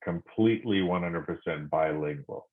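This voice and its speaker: Deep-voiced man, tinny phone-line sound. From Korea. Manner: thoughtful, halting, many fillers and restarts. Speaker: podcast guest. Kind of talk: reflective conversation.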